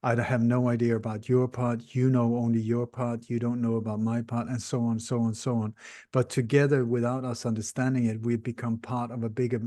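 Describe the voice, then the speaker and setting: A man in his sixties, born in Denmark and raised in Sweden, speaking English in a podcast interview, explaining things earnestly and steadily with frequent pauses. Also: mid-range voice, little pitch movement, mellow, no room sound, clean studio sound. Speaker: interview guest, researcher.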